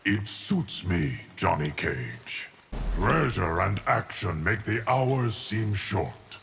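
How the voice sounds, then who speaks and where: An actor playing an ancient, solemn immortal guardian of time, speaking formally and with measure in a fighting game. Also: male voice, on the low side, very animated, takes it slowly, creaky, a bit bright, slight background noise, heavily acted. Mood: solemn, calm. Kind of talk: scripted fighting game dialogue.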